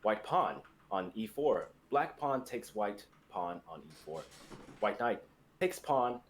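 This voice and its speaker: A young man narrates a dramatic script, reading out scene and action directions. His voice is fairly low. The recording carries clear background noise and room ambience. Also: very animated, slow pace. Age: young adult.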